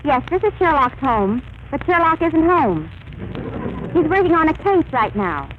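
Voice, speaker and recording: An actress playing a brisk, wisecracking detective's assistant with deadpan delivery in 1930s radio comedy. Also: female voice, high voice. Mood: brisk, wry. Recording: dull, hissy 1930s radio recording.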